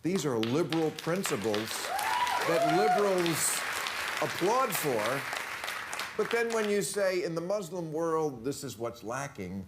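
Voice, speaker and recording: A man's voice: fairly high pitch, big pitch swings, a little slow, a loud crowd, heavy background noise. A man hosting a televised panel debate, arguing his point firmly. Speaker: TV show host.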